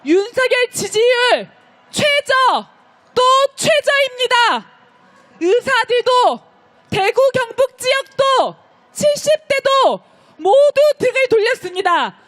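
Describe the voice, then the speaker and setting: A woman leading a street protest, delivering impassioned, declamatory speech and shouted chants to the marchers. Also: very high-pitched, very animated, takes it slowly. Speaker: rally host leading chants. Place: outdoor street march beside a park.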